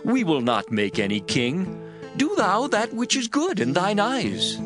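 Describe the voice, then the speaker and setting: An actor playing a fearful, submissive city elder in a scripture audio drama, pleading in declamatory fashion. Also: male voice, sits high, wildly swooping pitch, measured pace, music in the background, exaggerated voice.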